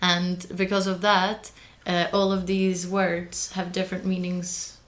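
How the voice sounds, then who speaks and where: Young woman, hungarian native speaker, lowish flat voice, careful and earnest, lots of uh's. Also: unhurried. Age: young adult. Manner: careful, earnest, with frequent hesitations. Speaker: guest, early career mathematician. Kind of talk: podcast interview.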